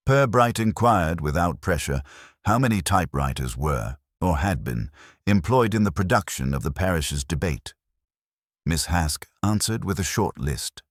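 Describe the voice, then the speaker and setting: A male audiobook narrator with a very deep, husky voice. He reads literary mystery fiction in a measured, wry way, with lively rises and falls in pitch. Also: no echo, clean studio sound.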